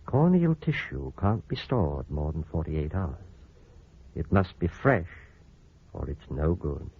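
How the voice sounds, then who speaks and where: An actor playing a grave, considerate doctor in an old-time radio drama, speaking in a measured, explanatory way. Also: male voice, extremely low pitch, big pitch swings, breathy edge, full character voice. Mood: grave, explaining. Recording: muffled, hissy old radio recording.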